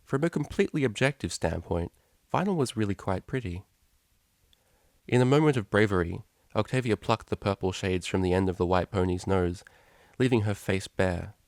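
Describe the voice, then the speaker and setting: A man narrates a story in a lowish voice. He reads in a measured, expressive storytelling style. The sound is dry, with very little room in it. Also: no echo. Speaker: fan fiction reader, narrator.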